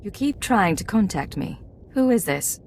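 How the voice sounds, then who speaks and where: A woman's voice: mid-range voice, very animated, measured pace, a little mellow, a little hiss or hum. A woman playing a guarded, no-nonsense secret agent in a video game, with clipped, cool delivery. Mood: guarded, demanding. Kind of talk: scripted video game acting.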